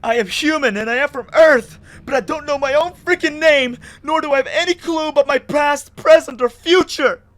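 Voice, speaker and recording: A man's voice: very high voice, normal speed, few pauses, a bit bright, voice pushed up. Man acting a lost, frustrated amnesiac, dramatic delivery. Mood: screaming frustration. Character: confused, frustrated amnesiac human man lost in strange world.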